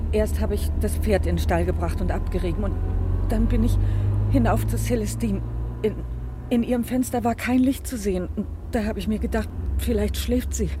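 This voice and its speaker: Woman acting guarded, halting peasant woman. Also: fairly high pitch, noisy background, natural voice. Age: middle-aged. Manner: halting, repeats words, guarded. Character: tight-lipped, stubborn middle-aged peasant woman, bitter. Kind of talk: radio drama acting.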